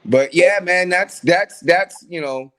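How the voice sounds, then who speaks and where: A man in his forties speaks with a noticeably high voice, pressing his points in an emphatic, motivational way. He is a coach chatting on a podcast. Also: slow pace, fairly bright tone. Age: 40s. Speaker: track coach and trainer. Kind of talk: casual podcast conversation.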